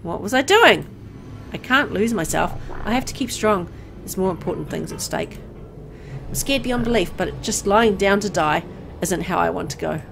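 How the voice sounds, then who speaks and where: A woman's voice: mid-range voice, lively intonation, moderate pace, creaky, background noise. A woman reading a first-person story aloud in a dramatic narrating style. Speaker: visual novel reader.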